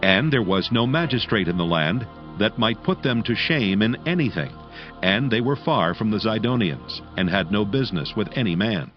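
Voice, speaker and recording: A man's voice: fairly low pitch, lively intonation, moderate pace. A man narrating scripture in a solemn, formal, dramatic storytelling manner. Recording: slightly dull audio, faint music.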